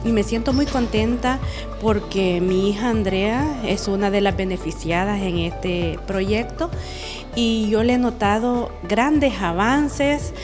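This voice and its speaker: A woman's voice: medium pitch, slow pace. Chatty mother, music behind. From El Salvador. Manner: chatty and proud, tells anecdotes. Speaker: parent. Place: university event hall, indoors. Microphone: handheld mic.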